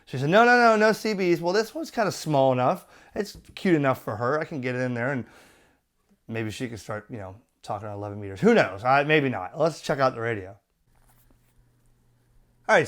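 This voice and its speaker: A man's voice: medium pitch, smooth. A middle-aged man giving a casual product review in a chatty, opinionated, colloquial way. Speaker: radio reviewer.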